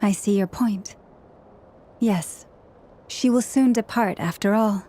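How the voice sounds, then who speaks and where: An actress playing a gentle, solemn village leader in a fantasy video game, measured and formal. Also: female voice, mid-range voice, slight background noise, natural voice. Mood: gently accepting. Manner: measured, soft-spoken, formal. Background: music.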